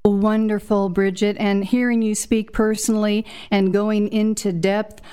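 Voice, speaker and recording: A woman hosts an interview in a mid-range voice. She speaks formally and earnestly, in a measured, prepared-sounding way. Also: medium pitch, little pitch movement, warm tone, clean tone. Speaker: host.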